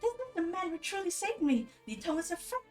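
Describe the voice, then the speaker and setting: A woman's voice: very high voice, sing-song, brisk, far from the mic, faint music behind, pitched above the performer's own voice. A woman voicing an earnest, pleading young princess from a storybook, in a dramatic manner. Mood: earnest, insistent.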